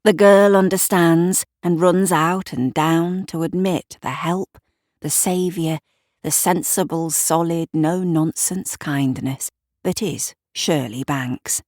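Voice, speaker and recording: A woman's voice: on the low side, fairly even intonation, slow, darkish tone, no room sound, clean studio sound. A woman narrating a scripted audio drama, with wry, conversational asides.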